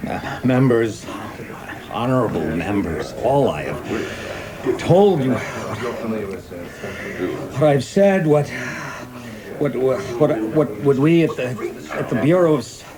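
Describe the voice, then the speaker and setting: A man playing a blustering in a radio play, given to halting oratory. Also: medium pitch, expressive, a crowd in the background. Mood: flustered, stammering. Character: blustering American Attorney General, angry, stammering under pressure. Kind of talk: dramatic radio play acting.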